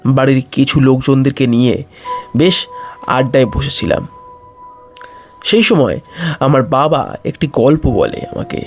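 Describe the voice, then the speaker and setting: Slow, creaky male horror narrator. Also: medium pitch. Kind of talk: scripted suspenseful narration. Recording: music bed under voice. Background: music.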